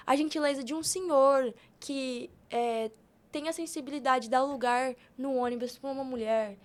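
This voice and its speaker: A young woman with a Brazilian accent chatting informally and telling personal stories on a podcast. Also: sits high. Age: young adult. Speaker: podcast guest. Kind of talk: casual podcast conversation.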